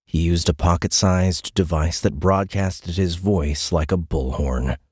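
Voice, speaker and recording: A man narrates an audiobook in a low, husky voice. He reads scripted story prose, and the sound is close and very dry. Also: steady tone, measured pace, darkish tone, dry recording.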